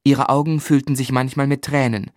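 An actor playing an earnest, compassionate young gentleman writer, narrating his life in measured, articulate for a radio drama. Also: mid-range voice, level tone, no room sound, close to an everyday voice. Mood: reflective, grave. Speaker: narrator and lead character. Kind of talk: literary first-person narration in German radio drama. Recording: music bed under the voice. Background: music.

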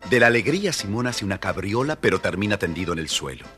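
Male storyteller: low, husky, expressive children's narration over faint music. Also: fast talker. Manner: theatrical, addressing the listeners.

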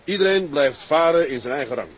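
Sea captain, radio drama, plain-spoken, genial, businesslike, lightly raised pitch. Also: male voice, expressive, a little fast, husky. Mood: matter-of-fact. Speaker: actor. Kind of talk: Dutch radio drama acting.

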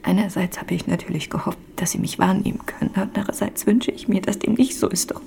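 An actress playing a melancholy centuries-old castle ghost in a radio drama, speaking in formal, archaic phrases with a breathy, often hushed voice. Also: female voice, medium pitch, fairly even intonation, quick pace, a little hiss or hum. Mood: anguished. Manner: formal, archaic, solemn diction.

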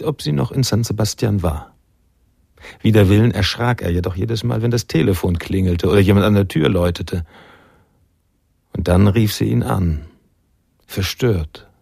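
Low raspy noir narrator, moody n measured, super dry close sound, radio play. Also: male voice, darkish tone, no room sound, full character voice. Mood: grave, reflective. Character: reflective male noir narrator, sombre storyteller. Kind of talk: literary scripted narration in radio drama.

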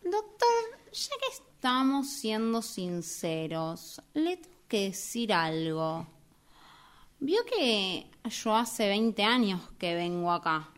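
A woman playing an earnest, talkative long-time therapy patient in an amateur radio play, with a Rioplatense Argentine accent and a halting, polite, apologetic manner. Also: medium pitch, sing-song, fairly bright tone, clean tone. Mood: hesitant confession. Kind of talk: amateur radio theatre acting.

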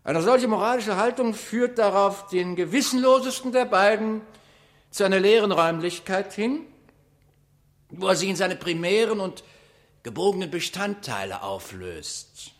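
Pompous philosopher, fairly high voice, grandiose lecturing declamation for vintage radio comedy. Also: male voice, expressive, normal speed. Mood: pompous, lofty. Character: pompous male philosopher lecturing in lofty abstract jargon. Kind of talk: 1960s radio drama, mock-academic declamation.

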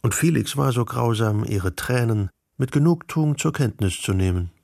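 A man narrating a literary radio drama in a measured storytelling manner, with a creaky voice. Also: mid-range voice, lively intonation, a little mellow.

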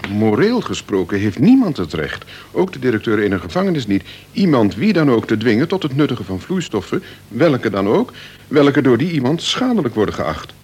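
An actor playing a pompous, sanctimonious prison chaplain in a radio play, formal and preachy with a husky voice. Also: male voice, mid-range voice, sing-song, moderate pace, a little mellow, slight background noise, close to an everyday voice. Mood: solemn, formal.

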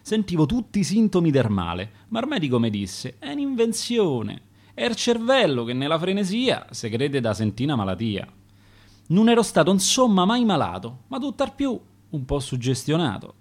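A man's voice: fairly high pitch, wildly swooping pitch, normal speed, darkish tone, pitched above the performer's own voice, exaggerated voice. A man reading the verse of a dead hypochondriac, in a Roman accent. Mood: anxious. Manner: reciting dialect verse in rhyme.

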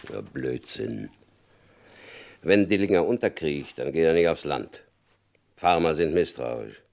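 A hard-nosed FBI chief from a vintage radio play, his voice extremely deep and gravelly. He speaks curtly and dryly, sure of himself, in the measured style of 1960s radio acting. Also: animated, a little mellow, exaggerated voice. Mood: confident. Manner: curt, confident, dry chuckles. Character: stern shrewd male FBI chief, middle-aged.

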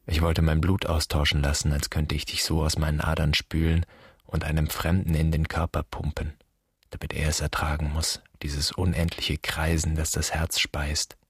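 Casting: embittered son in a radio play, extremely low husky voice, brooding and accusing. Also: male voice, monotone, normal speed. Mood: bitter, accusing. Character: bitter grieving adult son addressing his dead father. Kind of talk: literary radio drama monologue.

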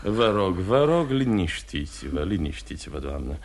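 An actor playing a formal, courteous but pressing investigating magistrate in a radio drama, often repeating his phrases haltingly. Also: deep voice, sing-song, gravelly, heavily acted. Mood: courteous, soothing. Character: smooth, insistent male investigating magistrate, courteous yet pressing. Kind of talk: mid-century radio theatre acting.

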